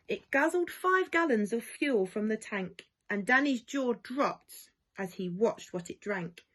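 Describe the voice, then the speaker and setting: A woman reads a picture book aloud for young children. Her voice sits at an average pitch, and she gives the rhymes an animated, lilting swing with plenty of expression. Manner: animated, lilting rhyming delivery. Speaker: primary school staff member reading to children. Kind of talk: expressive children's storybook reading.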